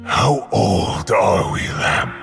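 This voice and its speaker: A man's voice: lively intonation, takes it slowly, heavily acted. A man playing a huge, childlike, hungry spectral wolf in a video game, growling short simple questions. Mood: somber. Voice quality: deep gravelly growl. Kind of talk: dramatic game character dialogue. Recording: music behind the voice.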